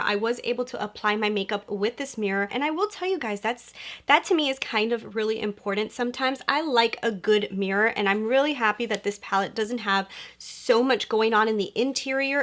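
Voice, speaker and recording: A woman's voice: fairly high pitch, moderate pace, fairly bright tone. Chatty young woman, nasal from a cold. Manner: chatty and gushing, lots of "you guys". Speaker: beauty vlogger. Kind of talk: upbeat product review vlog.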